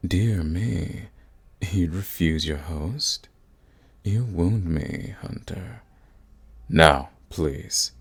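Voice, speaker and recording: A man playing a suave, sadistic vampire lord in an ASMR roleplay, courtly and menacing, with a gravelly, husky voice. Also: sits low, heavily acted. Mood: teasing, insistent. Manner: courtly, purring, slow and menacing. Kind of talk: ASMR roleplay, scripted monologue to the listener.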